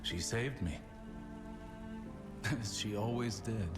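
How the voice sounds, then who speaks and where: A man acting a weary, solemn older mentor in a video game cutscene, speaking tenderly and haltingly in grief. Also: fairly low pitch. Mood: mournful, tender. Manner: slow, halting, reflective. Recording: loud music and rain behind.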